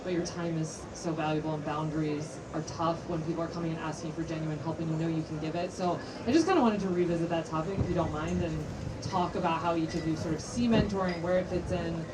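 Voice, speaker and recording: A woman stands in the audience and asks a long, flowing question in an articulate voice. Her voice is a little low and reaches the mic from some distance. Event noise hums behind her. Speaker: audience member asking a question.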